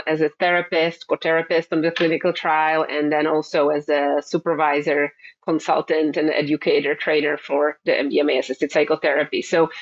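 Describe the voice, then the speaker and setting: Woman, halting, filler-heavy, dull lo-fi sound. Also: sits low. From Czech Republic. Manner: thoughtful, halting, many fillers and repeated words. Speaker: therapist guest. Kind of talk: interview answers.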